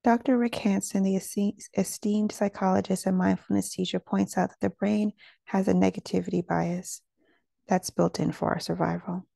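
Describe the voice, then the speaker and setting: A woman leads a guided meditation with a gentle, soothing delivery. Her medium-pitched voice is relaxed and warm, with a creaky edge. The sound is dry and close, with very little room in it. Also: normal speed, dry recording.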